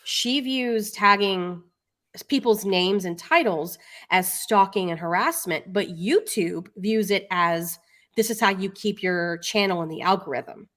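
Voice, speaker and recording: A woman's voice: medium pitch, a little slow, a bit bright. A woman co-host speaks in a mid-range voice. She sounds matter-of-fact and explains things plainly. Her tone is casual and conversational. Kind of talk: casual livestream commentary.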